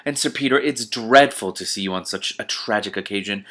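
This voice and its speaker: A man's voice: lowish voice, fairly quick, a bit bright. A man voicing a syrupy, affected society lady, a proud mother in a storybook. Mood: syrupy sympathy.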